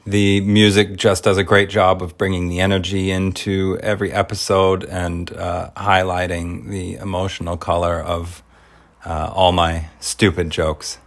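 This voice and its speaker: Low-pitched man casually presenting a podcast. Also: level tone, measured pace. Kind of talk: casual explanatory trailer voice-over.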